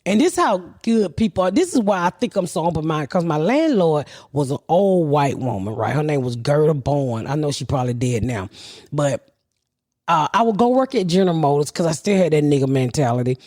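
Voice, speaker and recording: Mulher falante e desbocada, som seco. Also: female voice, low-pitched, very animated, fairly quick, a little mellow, no echo, studio quality. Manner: profane, blunt, rambling. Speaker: podcast host. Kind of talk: casual storytelling.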